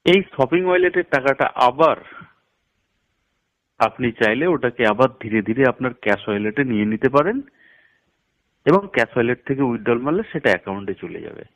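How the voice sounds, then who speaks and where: Male promoter, animated mid-range voice, instructional and emphatic, dull lo-fi phone-call sound. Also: mid-range voice, a little fast, long pauses. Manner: instructional, step-by-step, emphatic with figures. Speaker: network marketing promoter. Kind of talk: explaining a business plan over a phone call.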